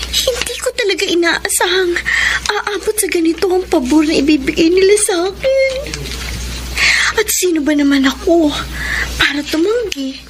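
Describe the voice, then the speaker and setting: A woman's voice: high-pitched, background noise. An actress playing a sweet, humble young woman in a Filipino radio drama, polite and earnest. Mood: disbelieving, hopeful. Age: young adult. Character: sweet, humble poor young woman, grateful and easily flustered. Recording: radio drama with music underneath.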